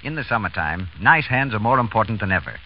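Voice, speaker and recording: An American male announcer delivers scripted copy in a 1940s radio manner. His fairly low voice moves in lively swoops. The recording is dull and old. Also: fast talker. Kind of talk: 1930s-40s scripted radio announcing and sponsor pitch. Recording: muffled, hissy old radio broadcast.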